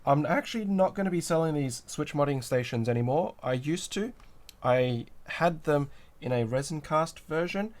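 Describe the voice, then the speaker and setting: A man hosting a livestream with a cold and sore throat, sounding nasal as he chats casually, greets viewers and reads out the chat while he builds a kit. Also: mid-range voice. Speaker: livestream host.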